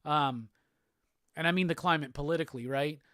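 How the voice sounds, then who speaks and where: A man from Alabama with a Southern accent, hosting a casual live show and answering chat comments in a relaxed, rambling way. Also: fairly high pitch, brisk, no echo, clean studio sound. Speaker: host.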